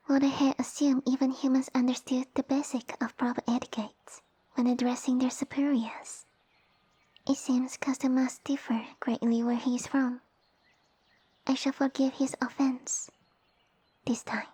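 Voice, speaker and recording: A woman voicing a small, haughty young snake-girl noble in an ASMR roleplay, speaking softly with pompous, formal condescension. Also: high-pitched, level tone, measured pace, slightly rough, dry recording. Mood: stern, wounded pride. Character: small haughty young lamia noblewoman, sassy and easily offended. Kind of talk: ASMR audio roleplay acting. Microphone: close dry microphone.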